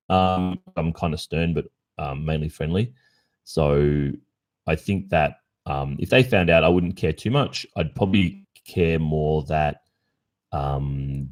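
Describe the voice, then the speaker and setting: An Australian man in his early forties with an exceptionally low voice. He chats informally on a livestream, answering listeners' questions. His delivery is thoughtful, with pauses and the occasional hesitation. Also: a little mellow. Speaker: ASMR roleplay streamer.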